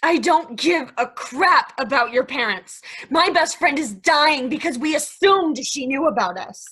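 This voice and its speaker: A woman acts out a panicked, devout female accomplice wracked with guilt. Her voice is very high. She speaks with agitated emphasis, in the manner of stage drama. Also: animated, unhurried, fairly bright tone, low-quality recording. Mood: distraught, guilty. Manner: agitated, emphatic, repeating herself. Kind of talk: dramatic stage play reading.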